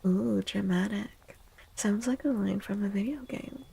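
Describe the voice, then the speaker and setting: Soft, breathy, slow-spoken ASMR woman. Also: medium pitch. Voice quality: soft, breathy, often whispered. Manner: gentle, reassuring, unhurried. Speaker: tarot reader, streamer.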